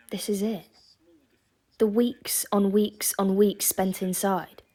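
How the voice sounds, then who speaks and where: A woman's voice: fairly low pitch, darkish tone, lightly acted. A young woman playing a thoughtful diarist, in scripted reflective narration.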